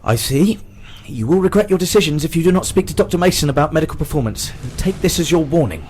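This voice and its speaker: Man acting formal doctor, swooping pitch. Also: lively intonation, fast talker, darkish tone, slight background noise, lightly acted. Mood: cold, threatening. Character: troubled male hospital doctor, polite but menacing. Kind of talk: radio drama acting.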